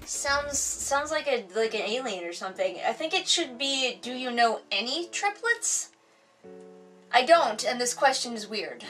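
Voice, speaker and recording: A woman's voice: sits high, a bit bright, far from the mic. A woman in her thirties with a Wisconsin American accent, reading tag questions aloud at home and answering them with a dry, joking manner. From United States. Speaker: vlogger. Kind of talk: casual question tag vlog. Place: home room with bookshelves, indoors. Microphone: camera mic at a distance.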